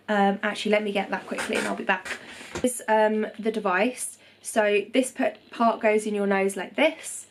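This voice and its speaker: Young woman, congested, casual home vlogger; chatty, reassuring, mid-pitched. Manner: chatty, reassuring, many ums and self-corrections. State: nasally congested after nose surgery. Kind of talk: casual recovery vlog to camera.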